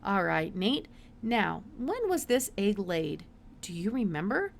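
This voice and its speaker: A woman's voice: medium pitch, very animated, slow, vocal fry, slight background noise, heavily acted. Woman voicing an emphatic, absent-minded older male scientist. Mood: brisk, curious.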